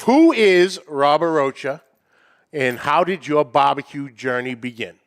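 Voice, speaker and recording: A man's voice: a little high, lively intonation, a little slow. A man hosting a casual livestream with banter, announcing things in a hyped, announcer-like way. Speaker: show host.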